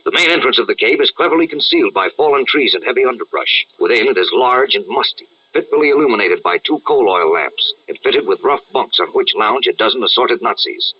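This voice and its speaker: A man with a husky voice narrating a radio serial in a dramatic, scripted style. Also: medium pitch, lively intonation, fairly quick, few pauses. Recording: dull lo-fi radio-style recording.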